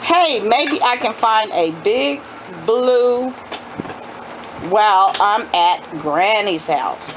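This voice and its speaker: A woman's voice: medium pitch, expressive, drawn-out words. Eager fifth-grade girl, read by a woman, noisy room. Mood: excited.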